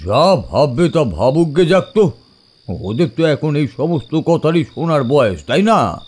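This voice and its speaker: An actor playing an older village uncle who tells eerie tales in an audio drama, conversational and emphatic with suspenseful pauses. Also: male voice, fairly high pitch, big pitch swings, darkish tone, husky, heavily acted. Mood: dismissive, insistent. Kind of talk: Bengali audio drama storytelling.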